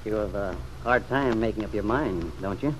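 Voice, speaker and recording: An actor in an old radio drama playing a stubborn, bitter young war veteran with a sardonic, slangy way of talking. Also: male voice, lowish voice, moderate pace, close to an everyday voice. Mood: cool, wounded. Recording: dull, noisy vintage radio recording.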